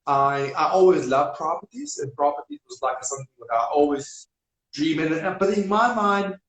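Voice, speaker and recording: A man with a Serbian accent, speaking as an energetic guest in a casual live-stream interview, with non-native grammar and repetition. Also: on the high side. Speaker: business guest. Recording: echoey room.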